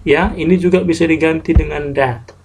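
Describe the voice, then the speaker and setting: A man teaching a translation class online, explaining grammar slowly and step by step with English example sentences. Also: a little high, fairly even intonation, vocal fry, noisy background.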